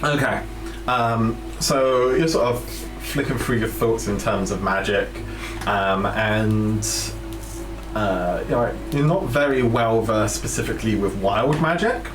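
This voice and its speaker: A man running a tabletop game as game master, improvising his narration in a hesitant, halting way. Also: lowish voice, background noise. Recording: roomy echo, music behind the voice. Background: music.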